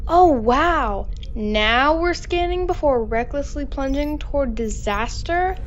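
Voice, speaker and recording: An actress playing a sarcastic ship engineer with a dry, mocking delivery. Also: female voice, high voice, animated, slow pace, dull audio, a little hiss or hum.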